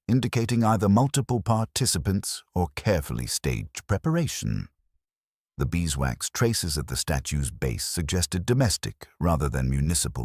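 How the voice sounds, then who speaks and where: A man with an extremely low voice narrates a mystery story in formal, literary prose. His measured delivery carries lively rises and falls in pitch. The sound is close and dry, with no sense of a room. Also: no echo. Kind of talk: scripted narration of a detective mystery.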